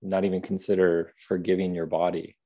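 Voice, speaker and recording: Calm guy with a soothing low-ish voice, mellow and soft, chatting on a podcast. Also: a little fast, muffled recording. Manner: gentle, soft-spoken, thoughtful. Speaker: app creator, podcast guest. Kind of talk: reflective interview conversation.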